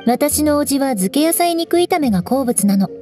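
A woman's voice: high-pitched, animated, normal speed, over music. A woman playing a proud, prickly young noblewoman knight in an anime game, composed and haughty. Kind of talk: Japanese anime game voice acting.

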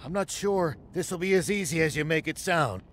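An actor playing a sober, wary old sheriff in a video game, measured and skeptical. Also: male voice, a little high, animated, fairly bright tone, a little hiss or hum. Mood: doubtful. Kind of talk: video game cutscene acting.

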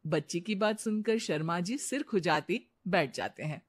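A woman narrating a scripted animated moral story as a storyteller. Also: lowish voice, normal speed.

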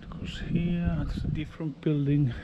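A man in his thirties giving halting, casual off-the-cuff commentary in short muttered remarks as he explores. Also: fairly high pitch, level tone, highs cut off. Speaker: urban explorer vlogger. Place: abandoned factory grounds, outdoors and in derelict rooms. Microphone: handheld action camera at arm's length. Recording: noisy handheld action camera audio.

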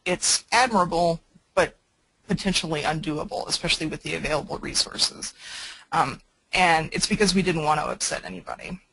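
A woman's voice: deep voice, fairly quick, creaky, a bit bright, breathy edge, rough recording. A woman presenting on a webinar call, speaking plainly and practically with many fillers.

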